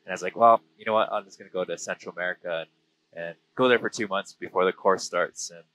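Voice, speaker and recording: Male, 30s, western Canadian. Lowish pitch, sharp bright tone, easygoing anecdotal delivery, close headset mic. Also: fairly even intonation, quick pace, rough recording. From British Columbia, Canada. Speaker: interview guest, diver and filmmaker. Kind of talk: casual storytelling interview. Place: small plane cockpit in flight. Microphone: aviation headset boom. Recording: close aircraft headset intercom.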